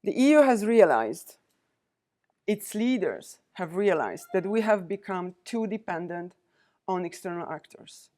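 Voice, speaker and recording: Measured woman lecturing. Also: medium pitch, lively intonation, darkish tone. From Poland. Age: 40s. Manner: measured, deliberate delivery with pauses between listed items. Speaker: guest lecturer, diplomat. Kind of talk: prepared university lecture. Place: university lecture venue.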